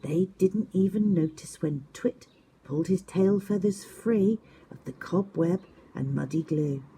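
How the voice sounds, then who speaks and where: A woman's voice: on the low side, measured pace, dark tone, husky. A woman narrating a children's story expressively, as a teacher does at story time.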